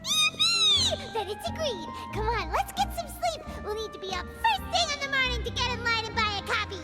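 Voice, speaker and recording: A tiny, chatty game fairy with an extremely high, squeaky little-girl voice. Her delivery swoops up and down, excitable and cartoonish, with loud music playing behind it. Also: normal speed. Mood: cheerful, playful. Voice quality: squeaky, childlike. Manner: refers to self in third person, expressive. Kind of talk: cartoonish video game voice acting.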